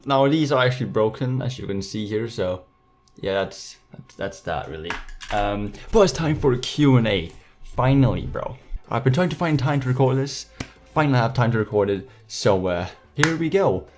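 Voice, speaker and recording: A young man of eighteen with a Danish accent talks to his viewers in a loose, slangy way. His voice sits at a middling pitch, with some playful sarcasm in the delivery. Light music plays behind him. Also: expressive, normal speed, darkish tone. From Denmark. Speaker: YouTuber hosting a Q&A. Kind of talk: casual chat to viewers.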